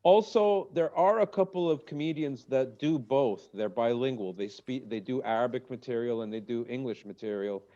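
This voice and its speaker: Comedian guy rambling on a podcast, mellow darkish voice, muffled lo-fi sound. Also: mid-range voice, moderate pace, hardly any pauses. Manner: rambling, jokey, lively asides. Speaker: comedian guest. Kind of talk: casual podcast chat.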